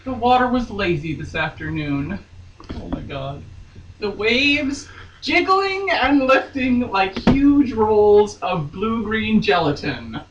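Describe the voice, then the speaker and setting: A roomy, slightly distant recording with faint noise carries a woman reading fiction aloud. She is theatrical and hammy, swinging widely in pitch, and slips between mock drama and jokey, chatty commentary. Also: lively intonation, slow pace, dull audio. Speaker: podcast co-host reading a novel aloud.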